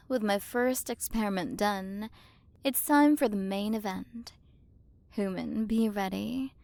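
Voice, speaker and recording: A woman playing a haughty, possessive alien queen and scientist who talks down in a commanding, teasing way, in an ASMR roleplay. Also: a little high. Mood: curious, pleased.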